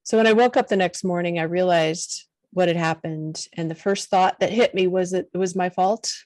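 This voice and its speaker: Middle-aged woman, soft-spoken and earnest, lowish voice, dry booth sound. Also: normal speed, no room sound. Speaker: podcast guest, confidence coach. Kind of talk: personal storytelling in an interview.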